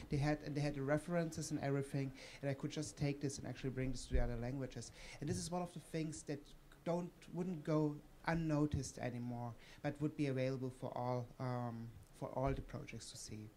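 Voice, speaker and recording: A man's voice: on the high side, dark tone, slight background noise. A man in his thirties from Croatia, telling a personal example on a conference panel through a table microphone. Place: conference room with audience.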